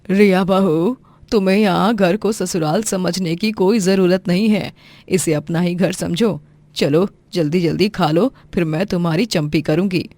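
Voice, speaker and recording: A woman's voice: fairly low pitch, fairly even intonation, unhurried, mellow, no room sound. A woman playing a maternal, emphatic middle-aged mother-in-law in a cartoon moral story. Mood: caring. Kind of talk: animated moral-story dramatisation.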